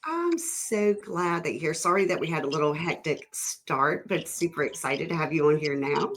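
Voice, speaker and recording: A chatty, upbeat woman hosting a relaxed interview over a video call. Her voice sits slightly low, and her questions wander loosely. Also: moderate pace. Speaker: podcast host, realtor. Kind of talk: casual interview conversation.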